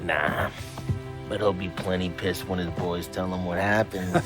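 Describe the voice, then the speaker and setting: A man's voice: low voice, steady tone, unhurried, music in the background. An actor playing a brash, needling American mob tough guy in a cinematic video game, cocky and slangy. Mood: respectful. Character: brash, teasing mobster tough guy named Paulie.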